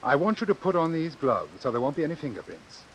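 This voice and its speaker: An actor playing a cold, calculating doctor in a vintage suspense radio drama, speaking in a formal, precise, measured way. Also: male voice, a little high, very animated, poor audio. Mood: smooth, businesslike. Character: cold, calculating male doctor obsessed with his experiment. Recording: hissy old radio recording.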